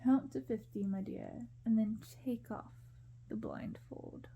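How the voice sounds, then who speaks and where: A woman voicing a suave, scheming young prince in a storybook, coaxing and intimate, speaking softly. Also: a little high, slow, lo-fi sound, slight background noise. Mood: teasing, tender.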